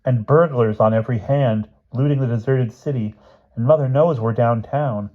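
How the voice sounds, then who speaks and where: A man's voice: mid-range voice, moderate pace, phone-quality sound, pitched above the performer's own voice, close to an everyday voice. A man voicing a bossy, worried boy in a storybook, with urgent, grandly dramatic phrasing. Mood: gloomy, dramatic.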